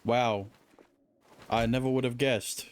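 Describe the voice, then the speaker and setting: A man's voice: mid-range voice, steady tone, slow pace, noisy background. A man streaming a game, making sarcastic side remarks while reacting over the game. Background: game music.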